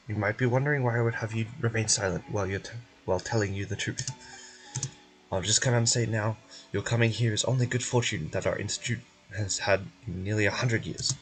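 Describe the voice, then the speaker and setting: Casting: evasive male scientist-bureaucrat for a game man reading, mid-low everyday voice, officious and courteous, soft music behind. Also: rough recording. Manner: measured, polite, officious. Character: formal, evasive male research institute official in fantasy game.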